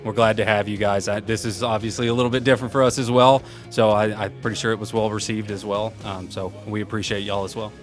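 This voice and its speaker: A young man with a loud voice, answering interview questions casually at a conference and laughing often. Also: on the low side, little pitch movement, fast, few pauses, faint music behind. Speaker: event program manager, interview guest. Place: conference venue.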